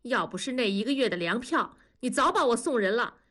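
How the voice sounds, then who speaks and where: A sullen young woman in an audiobook family drama, acted by a female narrator, high in pitch and swinging widely. She is sarcastic and defiant, throwing bitter accusations at her parents. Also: expressive, a little fast, fairly bright tone. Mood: cold, resentful. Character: sullen, bitter 21-year-old daughter lashing out at parents.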